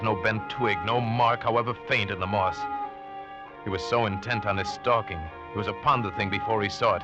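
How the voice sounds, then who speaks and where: A man's voice: low-pitched, lightly acted. An actor playing a hunted man who narrates his desperate survival with intense, suspenseful delivery in 1940s radio drama style. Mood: fearful, urgent. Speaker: narrator and protagonist. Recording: dull vintage radio recording, music behind. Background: dramatic music.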